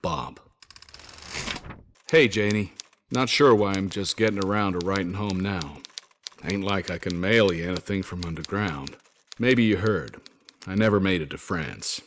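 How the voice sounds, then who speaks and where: Low-voiced folksy American WWII soldier. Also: male voice, a little slow, pauses often, a little hiss or hum. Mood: exasperated, reassuring. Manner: folksy, wisecracking, old-fashioned slang. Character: stranded 1940s American soldier writing home, folksy and wry. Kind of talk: scripted first-person letter narration, in character.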